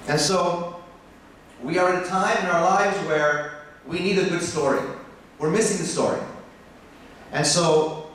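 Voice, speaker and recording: An American man, a biology professor, giving a passionate conference talk that turns animated as he makes his points. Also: a little hiss or hum. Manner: passionate, rising in pitch when animated. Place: conference hall. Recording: echoey hall from far off.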